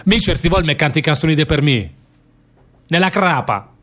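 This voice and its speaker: A man's voice: fairly high pitch, lively intonation, moderate pace, fairly bright tone. An actor playing a naive, stubborn working man with a Lombard accent, telling things in rambling, repetitive dialect in a theatre drama. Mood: wistful, animated. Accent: northern Italian, Lombard. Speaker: stage actor in a radio theatre play.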